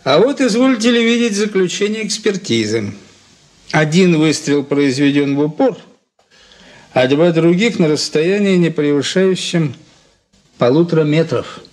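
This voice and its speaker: A man's voice: on the high side, animated, measured pace, a little mellow. A man playing a precise, old-fashioned, condescending forensic colleague in a radio play, speaking with pedantic confidence. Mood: assured, mocking.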